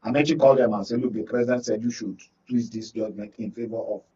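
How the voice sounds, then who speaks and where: A man's voice: fairly low pitch, steady tone, quick pace, dark tone. A Nigerian man speaking as a political analyst in a discussion, emphatic and often checking that listeners follow. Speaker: guest political analyst on a talk show. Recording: muffled, distant-sounding studio talk.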